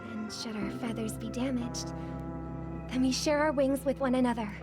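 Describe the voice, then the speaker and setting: High-voiced, graceful young woman singer, acted by a woman. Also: moderate pace, lightly acted. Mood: hopeful, resolute. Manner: polite, composed, earnest. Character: kind, graceful young female singer, sincere. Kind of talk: video game cutscene acting. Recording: loud music under the voice.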